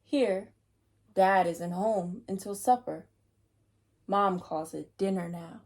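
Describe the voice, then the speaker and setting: A woman's voice: mid-range voice, slow pace. A woman hosting a children's read-aloud, chatting playfully to young listeners and reading aloud.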